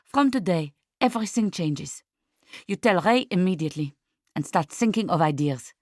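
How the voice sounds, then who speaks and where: A woman voicing a commanding, excitable female art agent in an audiobook, with emphatic, slightly stilted phrasing. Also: fairly low pitch, normal speed, no echo, studio quality, pitched above the performer's own voice, close to an everyday voice. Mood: brisk, exultant. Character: diplomatic, bossy, excitable French female art agent, exultant and insistent.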